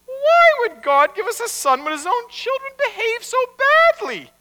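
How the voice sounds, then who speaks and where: Man putting on a shrill, nagging rabbi's wife. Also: very animated, normal speed, heavily acted. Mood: scolding. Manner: sharp, nagging. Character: scolding, sardonic wife of an old rabbi. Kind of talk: live storytelling. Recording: echoey hall, long reverb.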